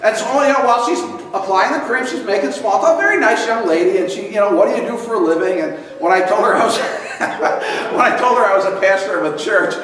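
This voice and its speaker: A man in his sixties, a pastor, telling a humorous story in a sermon with a chuckling, lively manner. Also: sits high, fast, hardly any pauses. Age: 60s. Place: large church hall with congregation. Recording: echoey hall from far off, congregation audible.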